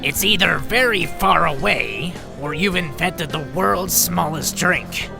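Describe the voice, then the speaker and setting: A man's voice: on the high side, takes it slowly, gravelly, over music, heavily acted. A man playing a worn, grumpy but earnest robot doctor in an audio drama, exasperated and insistent. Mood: dry, insistent.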